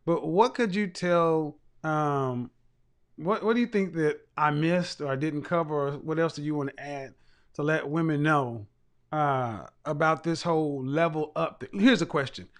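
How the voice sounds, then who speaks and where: A man's voice: on the high side, a little slow. A man hosting a casual live-stream chat, blunt and rambling, talking. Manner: blunt, rambling, with frequent restarts and profanity. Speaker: livestream host and image consultant.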